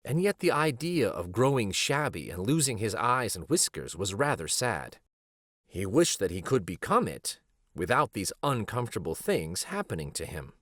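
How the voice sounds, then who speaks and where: A man narrating a children's storybook aloud in a deliberate, expressive way. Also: fairly low pitch, no room sound, clean studio sound.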